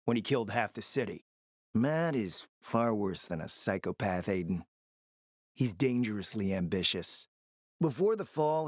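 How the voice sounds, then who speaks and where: A man performs a shrewd, foul-mouthed operator in a ruined-city video game. His delivery is lively and swings in pitch, conspiratorial and emphatic with a sardonic edge. The sound is dry and studio-tight. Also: no room sound, lightly acted. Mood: grave warning, then bitter, sardonic. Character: scheming, foul-mouthed post-apocalyptic city operator, confident and calculating. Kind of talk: dramatic video game dialogue.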